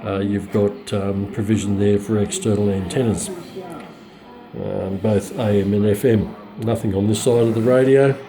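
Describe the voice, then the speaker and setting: A man giving a casual, unscripted product demonstration as a hobbyist collector, speaking haltingly with very frequent ums and pauses. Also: lowish voice, steady tone, music in the background. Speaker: radio collector presenting a receiver.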